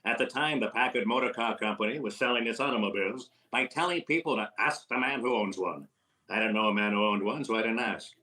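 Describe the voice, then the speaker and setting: A man's voice: mid-range voice, fast talker, sharp tone, lightly acted. A man playing a sardonic, wisecracking vaudeville-style comedian in a 1930s radio comedy. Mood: wry, mocking.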